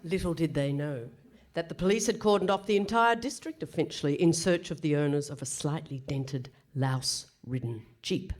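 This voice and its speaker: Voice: mid-range voice, moderate pace, natural voice. A performer playing a mock-dramatic narrator, reading scripted comic narration for a radio play. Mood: mock-serious. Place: live performance on stage in a hall.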